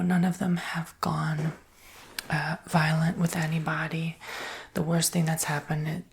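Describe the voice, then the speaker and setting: Whispering American man, breathy and crackly. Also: fairly high pitch. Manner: soft, unhurried, reassuring explaining. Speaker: ASMR roleplayer as robot salesperson. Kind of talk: whispered ASMR roleplay, improvised sales pitch.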